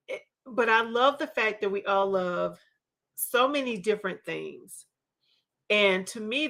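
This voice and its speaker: A woman's voice: mid-range voice, fairly bright tone. A woman in her fifties hosting a casual panel chat, talking in an enthusiastic, chatty way. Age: 50s. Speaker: panel host. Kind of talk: casual book community chat.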